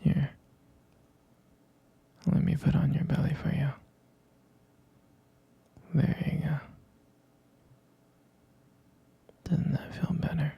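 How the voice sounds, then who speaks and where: Man acting a whispering, gravelly, devoted husband. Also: on the high side, level tone, highs cut off, full character voice. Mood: gentle, caring. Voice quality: breathy whisper with gravelly creak. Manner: slow, soft, hesitant, doting murmur. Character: tender, devoted husband comforting his pregnant partner. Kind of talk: intimate ASMR roleplay.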